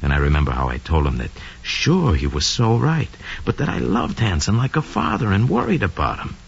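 An actor playing a smug, scheming young murderer who confesses coldly and boastfully in an old radio drama monologue. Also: male voice, lowish voice, wildly swooping pitch, a little fast, gravelly, slightly rough, heavily acted. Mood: cold, sly. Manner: sly, cold, boastful, slangy. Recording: dull lo-fi old radio recording.

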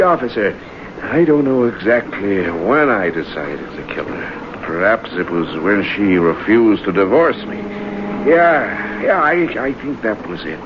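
An actor playing an unhappily married man confessing murder plans, in mid-century radio noir style. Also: medium pitch. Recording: muffled, noisy vintage radio recording.

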